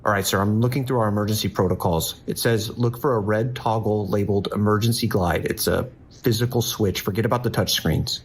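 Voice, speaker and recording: An actor playing a steady, weary police dispatcher in an audio drama, calm, measured and instructive. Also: male voice, on the low side, breathy edge. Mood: firm, steadying. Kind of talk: audio drama acting with monologue.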